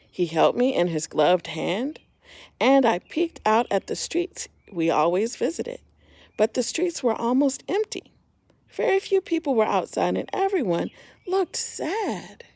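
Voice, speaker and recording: A middle-aged woman reading a picture book aloud to children, pausing to point out the pictures. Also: a little high, sing-song, vocal fry, a little mellow, dry recording. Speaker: homeschool story reader.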